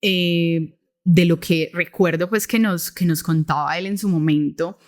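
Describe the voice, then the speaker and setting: A woman from Medellín with a Colombian accent, co-hosting a podcast and recounting a story in a relaxed, hesitant way, checking in with her listener. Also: on the low side, measured pace. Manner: hesitant, many restarts and fillers, checks in with "¿sí?". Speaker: podcast co-host. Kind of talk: casual conversational storytelling.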